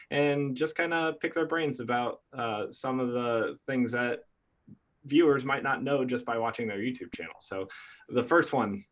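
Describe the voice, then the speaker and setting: A man hosting a casual interview, chatty and enthusiastic, often restarting his sentences. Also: mid-range voice. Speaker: interviewer host. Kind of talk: casual video-call interview.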